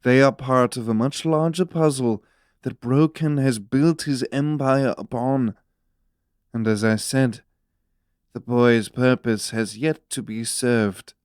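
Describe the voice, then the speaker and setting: A man playing a calm, authoritative and cryptic government minister in a fantasy audio drama, speaking in a measured, solemn way. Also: mid-range voice, clean tone, no echo, studio quality, close to an everyday voice. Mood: cold authority.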